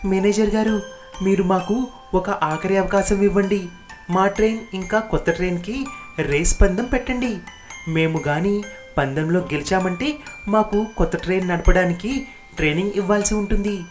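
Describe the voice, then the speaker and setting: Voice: mid-range voice, moderate pace, faint music behind, voice pushed up, close to an everyday voice. A narrator voicing an earnest veteran male train driver who pleads insistently in a cartoon story. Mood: earnest pleading.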